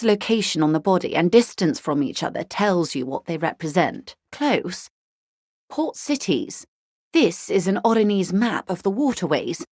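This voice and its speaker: A woman playing a composed, world-weary corporate negotiator in a video game, speaking in a measured, articulate and formal way. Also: on the low side, no room sound, studio quality.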